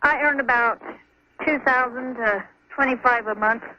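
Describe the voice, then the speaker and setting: American lady calling in, high voice, kinda unsure, muffled phone w some faint noise. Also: moderate pace. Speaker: caller to radio advice show. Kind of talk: casual phone conversation. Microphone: telephone. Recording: muffled noisy phone line on radio.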